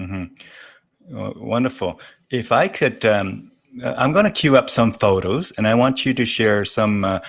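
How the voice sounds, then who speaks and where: Male talk host, lowish voice, informal and halting, feels his way through questions. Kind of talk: casual live interview hosting.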